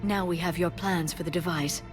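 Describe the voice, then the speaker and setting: An actress playing an earnest, scholarly alien scientist with a gentle, careful manner. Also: female voice, lowish voice, steady tone, moderate pace, music in the background, lightly acted. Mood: hopeful.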